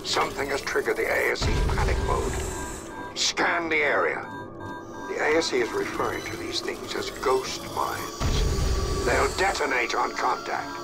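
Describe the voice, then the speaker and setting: Formal commanding agency boss, music behind. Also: male voice, medium pitch, very animated. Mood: measured, serious. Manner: formal, measured briefing delivery. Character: authoritative male commander briefing an agent by radio, urgent. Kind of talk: video game voice acting. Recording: loud music under the voice.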